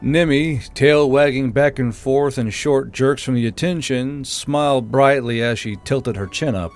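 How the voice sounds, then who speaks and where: A man's voice: mid-range voice, measured pace, soft background music. A man reading scripted fiction as an audiobook narrator.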